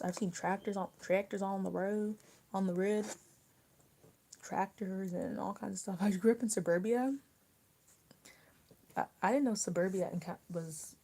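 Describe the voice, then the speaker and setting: Casual livestreamer, young woman, lower mellow voice, rambling, whispery asides, faint hiss. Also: gravelly. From US mid-Atlantic, rural border area. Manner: rambling, drops to soft near-whispers. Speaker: livestream host. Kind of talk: casual chat with live viewers while eating.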